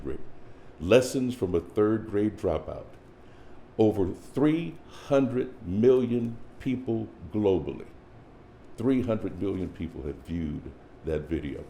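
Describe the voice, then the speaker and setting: A man's voice: lowish voice, warm tone, slight background noise. A man moderating a panel discussion, introducing guests with eager emphasis. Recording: roomy echo with faint ambience.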